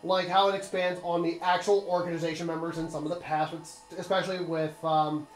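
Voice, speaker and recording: A man's voice: a little high, level tone, a little fast, reverberant, soft background music, poor audio. A man doing casual gaming commentary, blunt and sweary, giving rambling opinions on a story. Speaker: let's play commentator.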